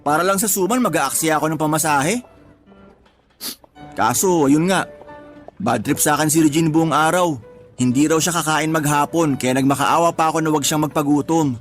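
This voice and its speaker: An actor playing a harried young husband, complaining in a radio drama. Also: male voice, on the high side, moderate pace, lightly acted. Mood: exasperated. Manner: exasperated, complaining. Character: harried young husband, exasperated and put-upon. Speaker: husband of a pregnant partner. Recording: faint music bed behind voice.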